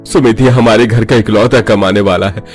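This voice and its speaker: A man's voice: on the low side, steady tone, normal speed, close to an everyday voice. Anxious older village father, acted by a man. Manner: anxious, pleading. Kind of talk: Hindi animated story dub. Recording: music under the voice.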